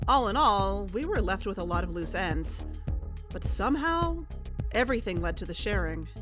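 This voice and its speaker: A woman's voice: mid-range voice, big pitch swings, moderate pace, over loud music, natural voice. A woman playing an earnest amateur investigator in a scripted podcast drama, speaking conversationally.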